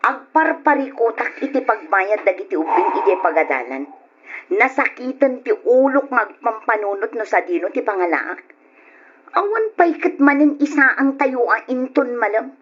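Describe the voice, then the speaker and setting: A woman's voice: fairly high pitch, expressive, normal speed, muffled recording, slight background noise, close to an everyday voice. A woman with an Ilocano accent playing a poor, worn-down, pleading mother in an old radio drama. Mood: exasperated.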